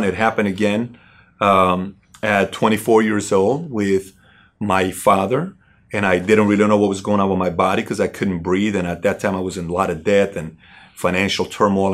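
A middle-aged man from Iran, a company CEO, telling his personal story in a halting way with many fillers and restarts. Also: on the low side.

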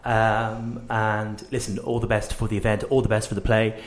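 A man's voice: fairly low pitch, little pitch movement, moderate pace. A man hosting a casual radio interview, speaking in an informal and encouraging way.

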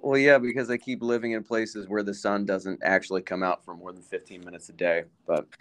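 A man's voice: lowish voice, fairly even intonation, brisk. A man co-hosting a podcast in casual chat, laid-back with a dry sense of humor. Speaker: podcast co-host.

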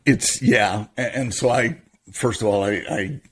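A man's voice: lowish voice, a little slow, fairly bright tone, slight background noise. A man co-hosting a podcast in casual back-and-forth chat, playful and teasing. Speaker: podcast co-host.

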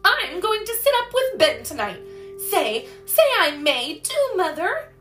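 A woman's voice: high voice, very animated, measured pace, a bit bright, soft background music, pitched above the performer's own voice, full character voice. A woman voicing a caring, bubbly little girl in a storybook, with eager, exclamatory, folksy old-fashioned speech. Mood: pleading. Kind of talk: storybook character voice.

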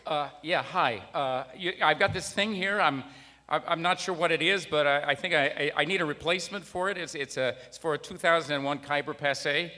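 A man's voice: on the high side, fairly quick, pushed and bright. A man playing a flustered, hapless car owner in a live audio comedy, hesitant and stammering as he pleads. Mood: hesitant, polite. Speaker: customer. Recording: live stage, long hall reverb.

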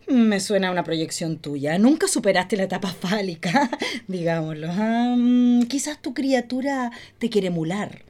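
A woman playing a blasé, disdainful psychiatrist in a comic radio play, with a sardonic, drawn-out delivery. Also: medium pitch, lively intonation, darkish tone, natural voice. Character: haughty, blasé female psychiatrist, disdainful of her patients.

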